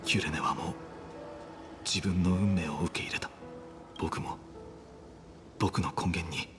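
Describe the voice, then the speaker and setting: A man voicing a solemn, resigned young hero in a dramatic game scene, softly and with breathy phrase endings. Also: low-pitched, steady tone, fairly quick, natural voice. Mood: solemn, resolute. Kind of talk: dramatic Japanese game voice acting. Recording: game music under the dialogue.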